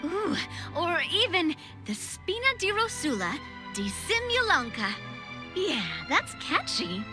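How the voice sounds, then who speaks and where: A woman's voice: extremely high pitch, sing-song, takes it slowly, heavily acted. A woman playing a confident, kind young queenly leader in a video game, with a theatrical, upbeat delivery. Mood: playful, assured. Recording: music under the voice.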